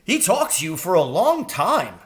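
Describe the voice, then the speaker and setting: A man puts on a young storybook crow, eager and chatty. The voice is pitched high and swoops upward, stumbling over its words in naive excitement. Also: unhurried, heavily acted. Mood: eager, helpful. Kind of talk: children's storybook read aloud.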